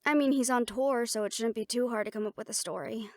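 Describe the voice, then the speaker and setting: A woman's voice: on the high side, fast talker, dry recording, studio quality, lightly acted. A woman playing a confident, playful siren-banshee warrior in a conversational audio roleplay, talking intimately to the listener. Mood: worried, pensive.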